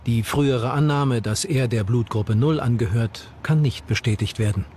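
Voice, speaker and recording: A man's voice: medium pitch, moderate pace, darkish tone, slight background noise. A man reading scripted true-crime documentary narration. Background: background music.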